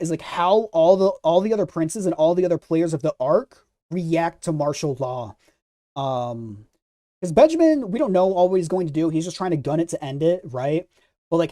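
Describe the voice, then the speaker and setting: A streamer chats casually through fan theories in a soft-edged, mellow voice of medium pitch. The delivery is hesitant, with words repeated as thoughts form. The sound is very dry, with no room around the voice. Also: dry recording. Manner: hesitant, stammering repetition of words while thinking aloud. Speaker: host of a manga discussion stream. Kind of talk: casual theory chat, responding to live comments.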